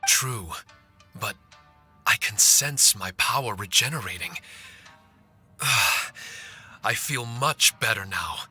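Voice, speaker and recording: A man voicing a gentle, polite spirit warrior in a video game, earnest and a little hesitant. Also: on the low side, animated, takes it slowly, pauses often, husky, soft background music, heavily acted. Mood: relieved.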